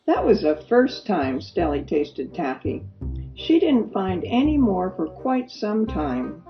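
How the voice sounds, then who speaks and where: A woman reading a children's story aloud as an expressive narrator, stretching words for emphasis. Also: mid-range voice, slow pace. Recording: dull lo-fi recording with music behind. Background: music.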